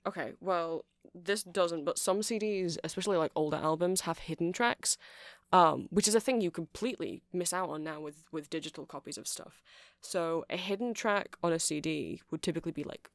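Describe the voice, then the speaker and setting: A woman's voice: on the low side, moderate pace, hardly any pauses, no echo, studio quality. A woman giving a rambling, chatty ASMR demonstration, explaining things step by step. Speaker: ASMR creator.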